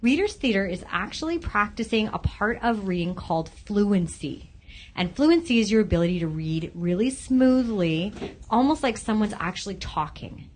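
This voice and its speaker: A woman in her thirties speaks as a schoolteacher being interviewed. Her voice sits at an average pitch for a woman. She gives explanations in plain, simple language that young listeners can follow. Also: expressive, moderate pace, a little mellow, slight background noise. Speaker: grade five teacher, podcast guest.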